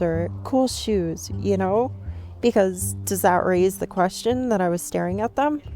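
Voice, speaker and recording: A woman's voice: medium pitch, dark tone, natural voice. A woman playing a shy, rambling cafe stranger. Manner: rambling, self-conscious, overthinking. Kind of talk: ASMR roleplay.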